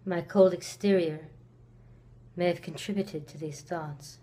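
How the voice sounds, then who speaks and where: A woman acting a slow-spoken, resentful Victorian murder suspect. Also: lowish voice, fairly even intonation, warm tone, natural voice. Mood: cold, somber. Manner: slow, deliberate delivery with long pauses. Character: cold, reclusive, resentful accused murderess of the 1890s. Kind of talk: dramatic theatrical monologue.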